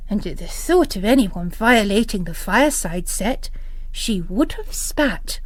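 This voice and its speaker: A woman narrating literary fiction in a measured, deliberate scripted reading. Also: medium pitch, no room sound. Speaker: narrator, reader.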